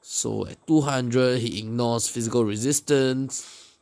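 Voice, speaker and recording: A man giving casual gameplay commentary in a hesitant, explanatory way, often pausing mid-sentence. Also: medium pitch, fairly even intonation, creaky, darkish tone. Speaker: gaming video creator. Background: music.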